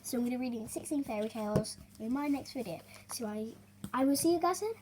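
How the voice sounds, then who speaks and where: A young girl reading a children's storybook aloud, expressive but halting, with small stumbles and chatty asides. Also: fairly high pitch, noisy background. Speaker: young reader hosting a story video.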